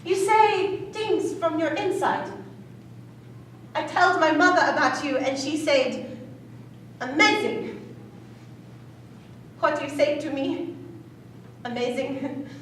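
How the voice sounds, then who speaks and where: Woman acting a shy earnest schoolgirl, very high voice, slow halting simple phrases, stage drama, echoey and distant. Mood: earnest, tender. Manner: halting, simple phrasing as if in a newly learned language. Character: earnest, shy, apologetic schoolgirl befriending an outcast. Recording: distant, echoey room with faint noise.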